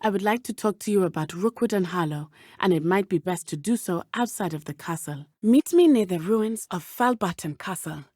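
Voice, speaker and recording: A woman voicing a brave, earnest teenage witch schoolgirl in a video game, speaking in a formal, measured way. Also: mid-range voice, a little mellow, slightly rough, dry recording, clean studio sound. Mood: serious, determined. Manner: formal, measured, avoids contractions. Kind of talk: scripted game companion dialogue.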